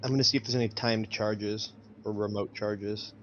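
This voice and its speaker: A man's voice: on the low side, fairly even intonation, moderate pace. A man describing his moves casually as a player in a tabletop roleplaying game. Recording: dull lo-fi sound, background noise.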